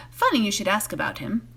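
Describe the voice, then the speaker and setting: A woman's voice: mid-range voice, huge pitch swings, moderate pace, fairly bright tone, slightly rough, heavily acted, rough recording. A woman voicing a chatty male innkeeper in a storybook, confiding gossip in folksy rustic talk. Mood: confiding, gossipy.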